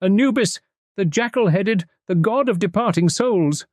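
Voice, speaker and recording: Fussy, excitable middle-aged detective, a man putting him on, pitched high, precise phrasing, dry audiobook read. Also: unhurried, dry recording. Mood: awed.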